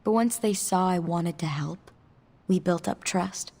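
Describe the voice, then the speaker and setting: Young mutant outcast, gravelly edge. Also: female voice, lowish voice, unhurried, dark tone. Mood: wistful, sincere. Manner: casual, candid, hesitant. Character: young female mutant, guarded, earnest outcast. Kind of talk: video game dialogue acting.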